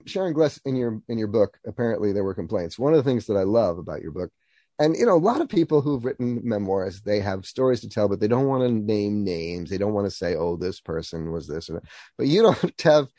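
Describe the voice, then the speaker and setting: Genial low-voiced male radio host. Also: very animated, brisk, warm tone, no echo. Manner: genial, chuckles often. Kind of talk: interview.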